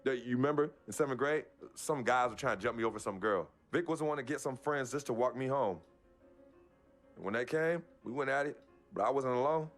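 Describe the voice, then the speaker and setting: A man's voice: mid-range voice, a little fast, close to an everyday voice. A young man acting as a basketball player in a game cutscene, defensive and fiercely loyal. He talks earnestly in casual street phrasing, played naturally, with music underneath. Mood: earnest, pleading. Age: young adult. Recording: music behind the voice.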